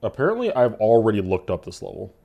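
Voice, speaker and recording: Animated young man streaming games. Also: lowish voice, gravelly, lo-fi sound. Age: 20s. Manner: animated, laughing, swearing, quick asides. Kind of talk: casual live-stream chat with chat.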